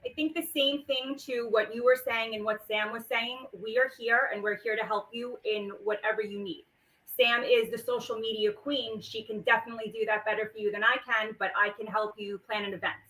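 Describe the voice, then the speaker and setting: A woman guest on a live stream who speaks in a steady, reassuring way about helping plan events. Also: fairly high pitch, brisk, rough recording.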